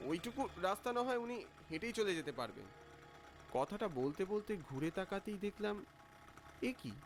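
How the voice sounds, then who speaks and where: A man's voice: sits high, slight background noise. A man playing an anxious, impatient saree trader who narrates his night journey in a cartoon story. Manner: fretful, expressive. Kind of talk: dramatised first-person story narration.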